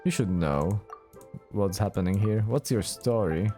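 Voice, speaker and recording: A man's voice: fairly low pitch, measured pace, dark tone. A man doing casual let's play commentary, wry and musing aloud as he goes. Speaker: gaming let's play host. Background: video game music.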